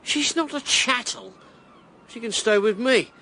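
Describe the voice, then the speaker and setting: An actor playing a brash, defiant election candidate with a blunt, combative manner in a radio drama. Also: male voice, very high-pitched, measured pace, creaky, sharp tone, a little hiss or hum, heavily acted.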